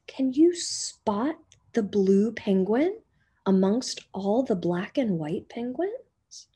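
A woman teacher reading a children's picture book aloud in a gentle storytelling manner, sometimes dropping to a whisper, recorded on a webcam in a small indoor room. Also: mid-range voice, expressive, slightly rough. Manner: slow, gentle storytelling with soft whispered passages. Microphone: webcam on a video call.

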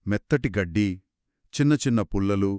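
A man telling a children's story in a conversational, expressive way, with exclamations and short questions. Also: fairly low pitch, moderate pace, smooth, dry recording, studio quality.

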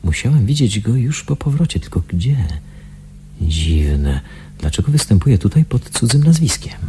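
An actor playing a restless, suspicious patient in a Polish science fiction radio drama, questioning and muttering to himself. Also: male voice, medium pitch, very animated, normal speed, background noise, natural voice. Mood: uneasy, suspicious. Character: restless, suspicious male patient in a sci-fi sanatorium.

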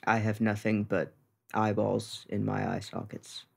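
A man with a gravelly, husky voice chatting casually and jokily as a tabletop podcast player. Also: fairly low pitch, flat delivery, a little slow, darkish tone. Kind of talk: casual chat.

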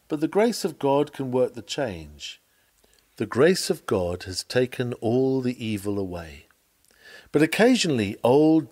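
A man reading an old sermon aloud in a measured, earnest, oratorical preaching delivery. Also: mid-range voice, a little mellow. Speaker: reader of a historical sermon.

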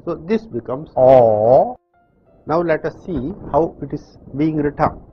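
Older South Indian-accented man, husky, very slow and measured teacher; dull lo-fi sound with faint music. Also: on the high side, animated. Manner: slow, deliberate explanations with pauses. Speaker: language teacher. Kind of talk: slow classroom instruction. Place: classroom with chalkboard.

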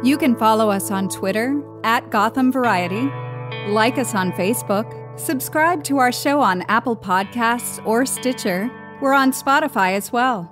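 A woman's voice: a little high, unhurried. A woman reading scripted announcements for a podcast. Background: music.

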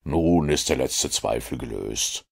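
A man voicing a keen, self-assured detective who explains his conclusions in a measured, commanding way. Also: extremely low pitch, lively intonation, vocal fry, husky, voice pushed down, heavily acted. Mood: triumphant.

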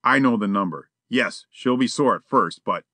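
Cheeky slangy burglar, easygoing and chatty, bright tone, dry close sound, voiced by a male narrator. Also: mid-range voice, lively intonation, normal speed, dry recording. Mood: wheedling.

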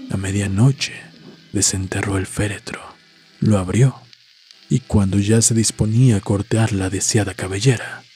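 A man reading a horror story as a narrator, solemn and measured, with dramatic pauses. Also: fairly low pitch, darkish tone. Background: background music.

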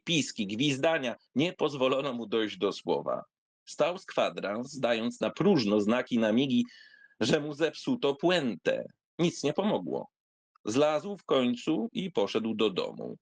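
A man's voice: mid-range voice, dry recording. Dry-sounding studio read by a male storyteller. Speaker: audiobook reader. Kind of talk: expressive literary memoir reading.

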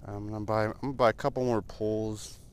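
A man's voice: lowish voice, level tone, fast talker. An American man in his twenties chatting casually to camera as a vlogger, laid-back and rambling. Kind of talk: casual vlog chat to camera. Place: inside a small car and outdoors in open parking lots. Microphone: action camera at arm's length or mounted. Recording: noisy action camera, car and outdoor ambience.

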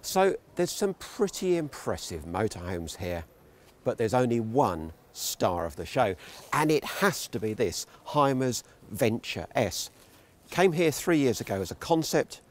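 A man's voice: medium pitch, big pitch swings, unhurried. An older man presenting a walkaround of motorhomes at an indoor exhibition hall, with wry, dry humour. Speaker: motorhome reviewer.

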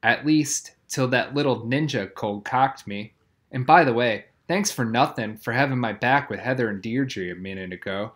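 A man's voice: mid-range voice, moderate pace, a bit bright, lightly acted. A man voicing a boastful, aggrieved schoolboy prankster from a children's novel, emphatic and griping.

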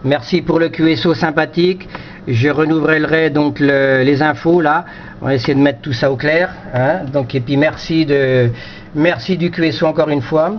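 Guy chatting on ham radio, kinda high voice, repeats himself, bit of background noise. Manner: hesitant, repeats words and phrases. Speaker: amateur radio operator. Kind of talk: casual two-way radio chat. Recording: dull lo-fi audio with slight noise.

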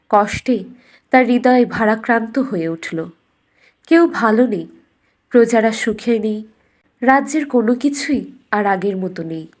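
A woman's voice: fairly high pitch, darkish tone. A woman storyteller reading a scripted fairytale narration for a cartoon bedtime story.

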